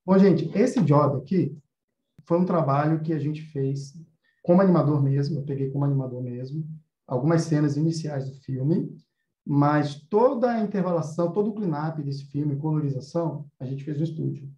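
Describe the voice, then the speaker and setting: A man's voice: on the high side, moderate pace, mellow. A Brazilian man teaching and hosting in a casual, explanatory way. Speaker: host, animation course teacher. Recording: roomy, slightly dull sound.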